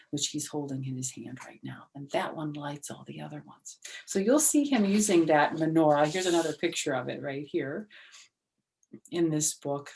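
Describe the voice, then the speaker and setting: A woman's voice: low-pitched, a little mellow. A woman reading a picture book aloud as a storyteller, with chatty asides that explain things simply, as to young children.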